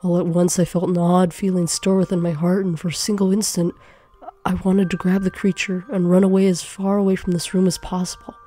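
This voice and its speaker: Mellow, even-toned woman narrating fiction. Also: on the low side, fairly quick, faint music behind. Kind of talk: dramatic first-person story narration. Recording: faint background noise.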